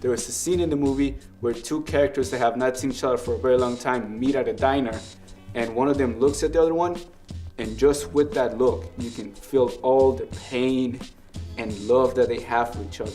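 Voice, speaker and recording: A man's voice: mid-range voice, fairly even intonation, brisk, dark tone, soft background music. A young man with a Mexican accent hosting a casual film review, earnest and analytical about the film he loves. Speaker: film review show host.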